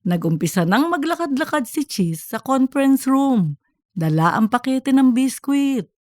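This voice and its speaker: A woman's voice: high-pitched, big pitch swings, measured pace, no room sound, studio quality. A woman reading scripted narration for a comedic audiobook.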